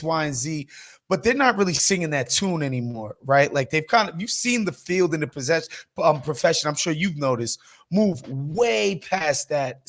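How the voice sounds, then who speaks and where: A man's voice: fairly high pitch, a bit bright. A man hosting a podcast in a casual, slangy, conversational way. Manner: informal, slangy, some swearing. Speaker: podcast host.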